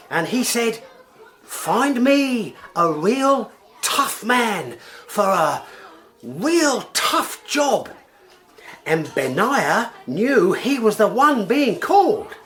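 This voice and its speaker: Very slow, halting schoolteacher. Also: female voice, medium pitch. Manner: halting, childlike storytelling. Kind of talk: radio drama acting.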